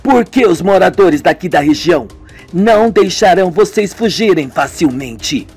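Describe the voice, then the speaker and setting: A man voicing a cold female cult villager who states threats calmly and matter-of-factly. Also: fairly low pitch, moderate pace, husky, faint music behind. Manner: calm, matter-of-fact menace.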